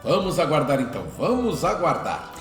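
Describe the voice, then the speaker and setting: Man acting an animated, high-voiced devout father. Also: normal speed. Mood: hopeful, caring. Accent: Brazilian. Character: devout, indignant father of a Spiritist healer. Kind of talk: radio drama acting. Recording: roomy echo, music underneath.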